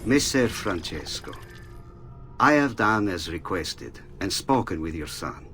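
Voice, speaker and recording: A cautious friar of the Italian Renaissance in a game letter voice-over. He is deep and unhurried, measured and formal in delivery, with faint music underneath. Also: very animated, sharp tone, exaggerated voice.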